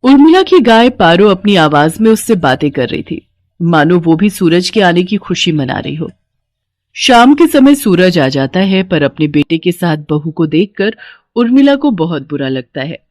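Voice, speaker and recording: A woman's voice: lowish voice, very animated, moderate pace, darkish tone, no echo, studio quality. A woman narrating a scripted cartoon moral story.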